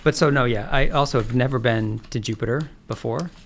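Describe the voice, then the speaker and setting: A man hosting a podcast, reading a measured introduction and then asking relaxed questions. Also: medium pitch, a crowd in the background. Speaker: podcast host. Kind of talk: scripted narration then interview.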